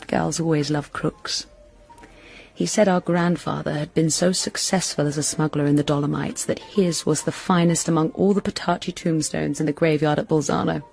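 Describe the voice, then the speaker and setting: Gravelly-edged, dreamy romantic young woman. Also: low voice, steady tone, normal speed, a little mellow. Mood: fond reminiscence. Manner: dreamy, storytelling, dramatic. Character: romantic, wistful young woman, stage performer with smuggler brother. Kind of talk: intimate radio drama acting. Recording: radio drama with music underneath.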